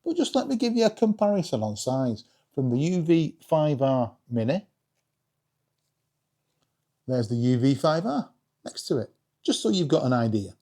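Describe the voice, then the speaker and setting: Male vlog host, lively swooping intonation, soft mellow tone, chatty and self-mocking. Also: mid-range voice. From England. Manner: self-deprecating, jokey, addresses viewers directly. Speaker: hobbyist video creator and host. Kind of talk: casual chatty vlog presentation.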